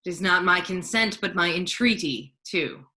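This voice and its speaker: A calm, reassuring friar who is secretly a duke acted by a woman speaks in a lowish, forward, bright voice. The verse is measured and persuasive, in the manner of a staged reading.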